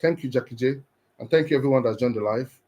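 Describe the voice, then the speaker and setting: A man's voice: medium pitch, fast, darkish tone. Earnest motivational man from Nigeria. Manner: earnest, encouraging, often repeats and restarts words. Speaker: live stream host. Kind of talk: motivational talk on a live stream.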